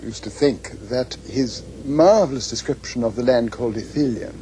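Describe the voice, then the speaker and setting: An older man with an English accent, reminiscing and reading letters aloud in a measured, emphatic, literary way. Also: medium pitch, dark tone, slight background noise, low-quality recording. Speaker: author's son giving reminiscences and readings.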